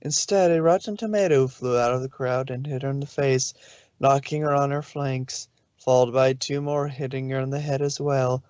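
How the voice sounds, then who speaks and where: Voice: medium pitch, fairly even intonation, moderate pace, a little mellow, clean tone, no room sound, lo-fi sound. A narrator reading a story aloud in a storybook style, sometimes stumbling over a word and correcting it. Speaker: narrator, fan fiction reader.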